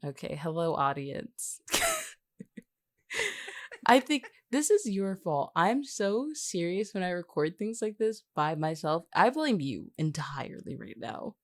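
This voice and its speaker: A woman's voice: on the low side, big pitch swings, unhurried, dry recording. A woman co-hosting a casual podcast, bantering in a teasing, dryly complaining way. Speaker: podcast co-host and guest.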